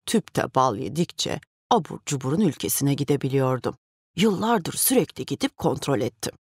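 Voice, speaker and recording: A woman voicing an energetic old grandmother in a cartoon, telling a story calmly. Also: rumbling low, moderate pace, slightly rough, no room sound, exaggerated voice. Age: older. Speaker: narrator of her tale. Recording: studio voice with music.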